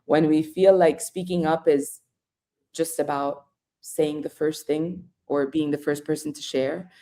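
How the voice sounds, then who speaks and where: A woman's voice: sits low, steady tone, unhurried, dark tone. A woman explaining ideas on a webinar, often checking in with listeners. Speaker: webinar guest.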